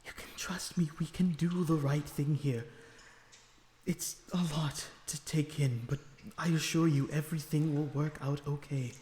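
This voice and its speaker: Casting: male actor as a tender vampire prisoner for audio roleplay, pleading softly and slowly, roomy echo. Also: fairly high pitch, fairly even intonation, a little hiss or hum, close to an everyday voice. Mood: pleading, reassuring. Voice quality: breathy, often dropping to a whisper. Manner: soothing, pleading, slightly formal phrasing. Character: lonesome, gentle, earnest male vampire prisoner.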